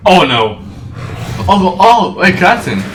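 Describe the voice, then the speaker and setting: A man's voice: high-pitched, sing-song, takes it slowly, a bit bright. A man who streams games, giving casual reactions as he plays. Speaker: game streamer. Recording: roomy echo, game music behind.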